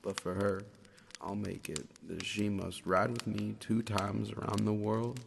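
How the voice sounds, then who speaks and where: A man plays Death as a lonesome, gentle cowboy with a country drawl. His low voice is gravelly and solemn, ringing in long reverb in a radio play. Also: little pitch movement, measured pace. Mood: sleepy, solemn. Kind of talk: radio drama acting. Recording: long reverb, faint noise.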